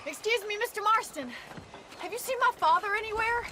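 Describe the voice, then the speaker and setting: A woman's voice: very high-pitched, brisk, pushed and bright, slight background noise. A woman playing an anxious, earnest rancher's daughter in a game, polite and urgent. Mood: worried. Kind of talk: dramatic game cutscene acting.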